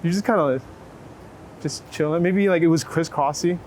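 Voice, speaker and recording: A man with a somewhat high voice speaks as a photographer directing a subject. His delivery is informal and encouraging, built on short repeated instructions. Faint street ambience and vehicles sit behind him. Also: moderate pace, a little mellow. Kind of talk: casual chat while directing portrait subjects. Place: outdoors in a city. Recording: city street ambience and traffic noise.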